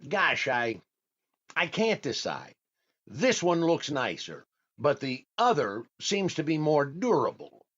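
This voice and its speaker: A man's voice: on the high side, big pitch swings, slow pace, fairly bright tone, dull audio, heavily acted. An actor playing a fussy, hesitant male shopper who cannot decide, in the style of old-time radio comedy. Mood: dithering. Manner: hesitant, dithering. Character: fussy, indecisive, penny-careful male shopper.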